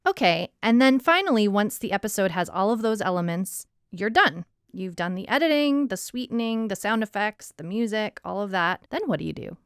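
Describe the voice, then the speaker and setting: A woman with a mid-pitched voice talks informally as a podcast co-host. Her delivery is conversational, and the sound is dry, from a home room padded with blankets. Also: lively intonation, normal speed, hardly any pauses, smooth, dry recording. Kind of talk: casual co-host chat.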